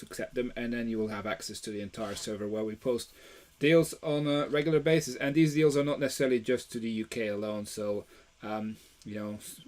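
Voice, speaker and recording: A man's voice: on the low side, a little mellow. A man from Belgium hosting a live stream about toy deals, talking casually with plenty of fillers and restarts. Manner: chatty, many fillers and restarts.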